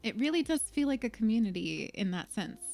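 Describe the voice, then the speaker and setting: A woman's voice: on the high side, big pitch swings, brisk, darkish tone, soft background music. A young adult woman hosting a casual livestream chat, talking in a loose, filler-heavy way and laughing easily.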